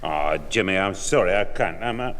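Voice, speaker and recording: A man playing an old grandfather with a hurried, animated manner in a 1960s radio comedy. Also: deep voice. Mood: apologetic. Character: old grandfather of a cheeky schoolboy.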